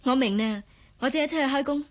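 An actress playing a sincere, polite young private secretary in a radio drama, mild and earnest, with a Hong Kong Cantonese accent. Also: female voice, sits high, fast, background noise, lightly acted.

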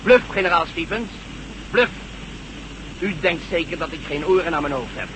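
A man's voice: a little high, expressive, normal speed, close to an everyday voice. A man playing a cocky, sardonic pilot in a science-fiction radio play, wry and emphatic. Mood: sardonic, defiant. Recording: noisy old radio play, aircraft drone.

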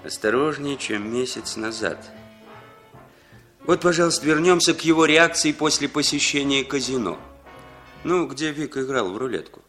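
A cynical, jovial male spy, played by an actor in a 1960s Soviet radio play. His mid-pitched voice swings widely as he banters casually, with some music around it. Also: expressive, moderate pace, a bit bright, natural voice. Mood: brisk, businesslike. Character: Frake, jovial, cynical male American intelligence agent.

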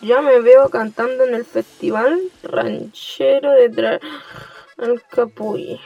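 A high, slow-spoken young woman acting a conceited, sly male fox from a radio play, with a Chilean accent and a whiny, boastful delivery. The recording is dull and lo-fi with music behind it. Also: steady tone, gravelly, heavily acted. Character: vain, deceitful male fox who loves to sing.

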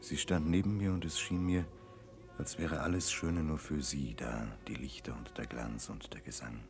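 A man's voice: very deep voice, fairly even intonation, normal speed, faint music behind. An actor playing a remorseful man tormented by memories of lost love, narrating earnestly and lyrically in a 1960s German radio play. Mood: tender, wistful.

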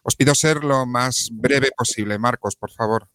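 A man's voice: mid-range voice, moderate pace, fairly bright tone, clear, resonant tone. A man co-hosting a community radio programme in a conversational style. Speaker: radio co-host.

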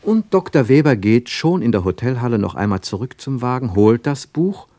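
A man reading scripted literary narration for a radio play in a measured, precise and expressive storytelling manner. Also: lowish voice, no echo.

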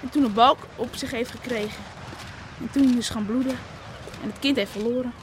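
A woman acts out an eager child of about eleven from a fishing island. The voice is high, insistent and ready to argue. It comes through a noisy old radio play recording. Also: steady tone, moderate pace, natural voice. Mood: argumentative. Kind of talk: Dutch radio play acting.

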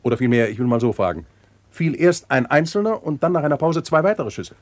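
An actor playing a shrewd, courteous police inspector questioning witnesses in a German crime radio play, methodical and polite. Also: male voice, mid-range voice, lively intonation, fairly quick, darkish tone, no echo, natural voice. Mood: probing.

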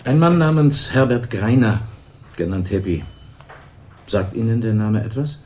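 Radio crime play: deep, formal detective, slow official questioning, faint hiss behind. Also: male voice, animated, darkish tone. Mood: calm. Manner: measured, official, probing. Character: calm, formal male police investigator. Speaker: police detective. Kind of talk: 1980s radio crime drama.

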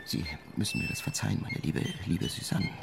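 A man's voice: noisy background, natural voice. A man acting a passionate, jealous, scheming married journalist in a German radio play, impulsive and theatrical. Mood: hushed pleading. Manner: impulsive, theatrical, halting when emotional.

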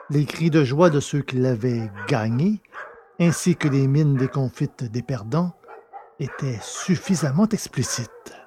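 A man's voice: mid-range voice, darkish tone, slight background noise. A man reading scripted science-fiction story narration.

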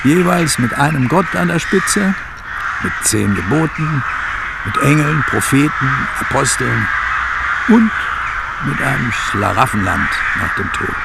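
A man's voice: medium pitch, creaky, heavy background noise. A man acting in a radio drama as an embittered scholar, a preacher's son, reminiscing with sardonic, theatrical mockery. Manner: sardonic, theatrical, quotes others mockingly. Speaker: interviewee.

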